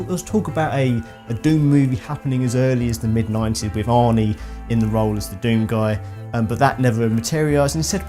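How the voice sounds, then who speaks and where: A man's voice: medium pitch, mellow. A man hosting a video game channel, giving casual, rambling opinions in an informal, meandering way. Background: background music with guitar.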